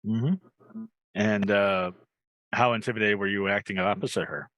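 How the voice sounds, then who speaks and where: A man hosting a casual interview show, speaking haltingly and repeating words as he forms his questions. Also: on the low side, steady tone, a bit bright, no room sound, lo-fi sound. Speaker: podcast host.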